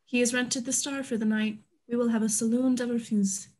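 A woman's voice: fairly high pitch, little pitch movement, a little fast, darkish tone, lightly acted. A woman in a play reading, voicing a candid, proud businesswoman of an Old West town. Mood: guarded, proud. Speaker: actor in an online staged reading. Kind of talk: scripted stage play reading. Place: indoors at home, on a group video call.

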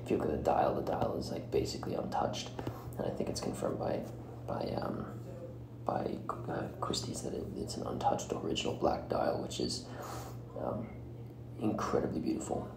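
A man with a very low voice delivers solo podcast commentary. His speech is hesitant and broken into short pieces, with plenty of vocal fry. The recording is roomy and echoing, with clear noise behind him. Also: lively intonation. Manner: halting, hesitant, often breaking phrases mid-way. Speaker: podcast host.

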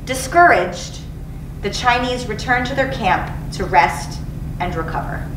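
A woman's voice: mid-range voice, lively intonation, a little slow. A woman, around her thirties, reading narration aloud from a book as a teacher would. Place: indoor school studio, seated. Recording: roomy, distant mic, clearly noisy.

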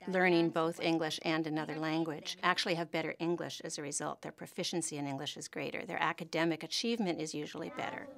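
A woman advocate giving a measured, explanatory documentary interview. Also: deep voice, steady tone, gravelly. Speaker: education advocate. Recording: music bed under interview.

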